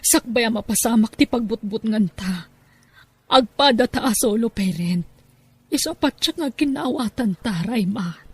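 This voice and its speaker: Woman acting, stubborn Ilocano mom, fairly high husky voice, audio drama. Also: animated, moderate pace, dry recording. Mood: earnest. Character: stubborn single mother of a daughter.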